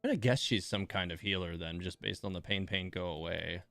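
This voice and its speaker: Very low-voiced woman, casual streamer. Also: a little fast, vocal fry, fairly bright tone, faint music behind. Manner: conversational, musing. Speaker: livestream host. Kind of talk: casual reaction commentary on game voice lines.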